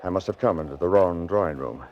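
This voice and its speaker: An actor playing a subdued, evasive intruder feigning innocence in a 1940s radio drama. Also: male voice, sits low, fast, natural voice. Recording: dull old radio recording, faint noise.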